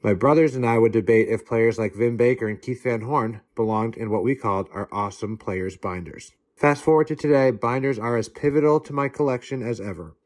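A man giving a prepared guest segment, earnest and steady, reading from notes. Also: medium pitch, a little fast, hardly any pauses. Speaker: guest contributor. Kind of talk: prepared spoken submission.

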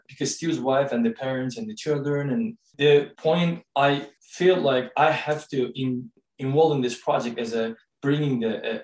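A man with a Turkish accent speaks English haltingly, pausing to search for words. He sits back from the mic in a roomy space, and his pitch is middling for a man. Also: darkish tone, far from the mic. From Turkey. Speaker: guest, co-author and professor. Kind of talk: podcast interview.

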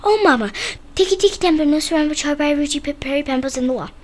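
A woman's voice: extremely high pitch, a little fast, a bit bright, pitched above the performer's own voice. A woman voicing a frantic little storybook boy, pleading breathlessly for help.

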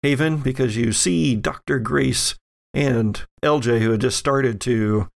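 Creaky-voiced man running a tabletop game. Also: medium pitch, a little slow. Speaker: game master. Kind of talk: narrating a tabletop role-playing game, improvised.

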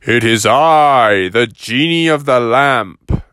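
A man's voice: mid-range voice, takes it slowly, fairly bright tone, clear, resonant tone, no room sound, clean studio sound. A man voicing a grand, obliging storybook genie of the lamp, proclaiming yet friendly. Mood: grand, solemn.

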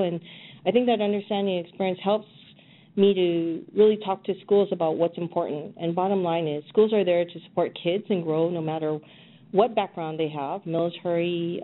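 Over a thin, phone-like line with faint noise, a middle-aged woman from Hawaii answers as an interview guest. Her voice sits a little low, and she talks in a conversational way. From Hawaii, United States. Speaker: interview guest, executive director.

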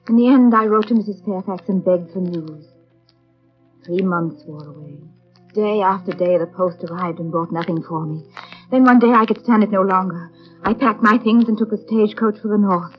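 An actress playing an earnest, resolute, sorrowful young English governess in formal, measured 1930s radio drama style. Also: female voice, medium pitch, slightly rough, natural voice. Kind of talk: 1930s radio drama acting and first-person narration. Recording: dull 1930s radio broadcast with music.

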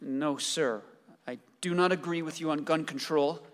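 An actor playing an excitable, adoring neighbor in a radio play, earnest and effusive in his devotion. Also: a little high, normal speed, big-room sound, lightly acted.

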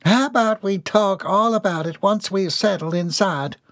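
Guy doing a hillbilly mama, way up high, sweet country drawl, bit fretful, audiobook character. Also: measured pace, no room sound, clean studio sound. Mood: chatty, uneasy. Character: folksy, anxious hillbilly mother, polite and urgent.

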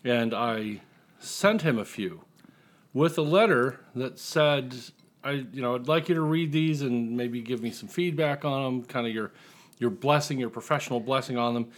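Man, mid-range pitch, podcast guest, casual storytelling, wry and joking. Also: normal speed.

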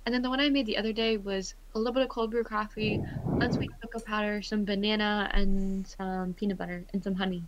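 A young woman chatting on a casual podcast, running through items one after another. Her voice is mid-pitched. A faint hiss runs under it. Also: flat delivery, fast talker, lo-fi sound. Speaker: podcast co-host. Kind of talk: casual group podcast chat.

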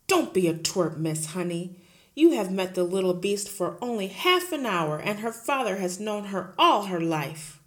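A woman voicing a huge, bullying headmistress who shouts, barks and sneers at everyone. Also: medium pitch, sing-song, measured pace. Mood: blustering. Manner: shouting, barking, scornful.

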